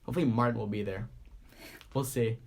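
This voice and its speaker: Congested man, halting casual chat. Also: medium pitch, darkish tone. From Boston area, US. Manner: halting, many restarts and fillers. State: congested from a cold. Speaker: podcast co-host.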